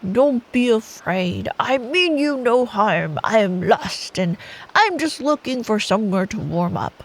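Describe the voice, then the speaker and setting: A woman voicing a gentle, polite giant talking bear from a bedtime story, in a slow, earnest way. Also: fairly high pitch, expressive, poor audio. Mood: calm, reassuring.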